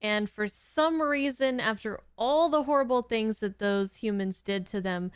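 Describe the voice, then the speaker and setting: Giggly lady host chatting about a show, clean smooth voice, slow pace, dry sound. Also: on the high side, no echo. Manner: giggly, rambling, informal. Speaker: host of a reaction channel. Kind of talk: casual reaction commentary.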